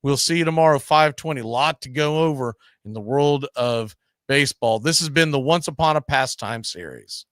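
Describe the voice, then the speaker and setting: A man's voice: medium pitch, moderate pace, a bit bright. A man hosting a live sports show, chatting casually about the game.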